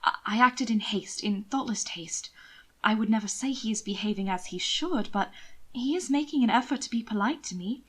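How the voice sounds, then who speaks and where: A woman reading the part of a gentle, anxious young nobleman in an audiobook, halting and uncertain in manner. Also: a little high, slightly rough. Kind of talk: audiobook character dialogue.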